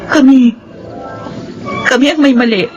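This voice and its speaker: An actress playing a concerned, protective daughter in a radio drama, anxious and imploring. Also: female voice, high voice, unhurried. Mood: pleading. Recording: dull sound, music underneath.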